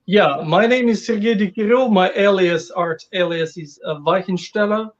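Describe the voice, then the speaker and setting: A man's voice: high-pitched, moderate pace. A man from Ukraine, a guest artist talking about his work on a casual call, with many fillers and restarts. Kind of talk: casual interview over a call. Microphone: video call. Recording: dull lo-fi call audio.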